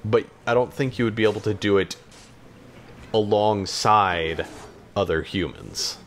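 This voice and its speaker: Male podcast co-host, lowish pitch, conversational and hesitant, qualifies his opinions as he speaks. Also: unhurried, a little hiss or hum. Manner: hesitant, hedging, backtracking. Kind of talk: casual chat about games and films.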